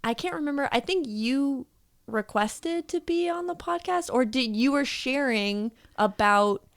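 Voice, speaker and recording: A woman's voice: sits high, lively intonation, unhurried, creaky. A woman hosting a podcast in casual chat, bubbly and laughing often as she teases herself. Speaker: podcast host.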